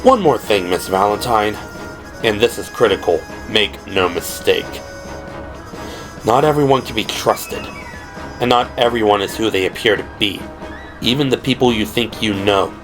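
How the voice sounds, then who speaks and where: A man playing a suave, mysterious man in his forties with a low, musical voice and an unhurried, courtly, cryptic way of talking in an audio drama. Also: over music, lightly acted. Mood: grave warning. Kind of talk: audio drama character dialogue.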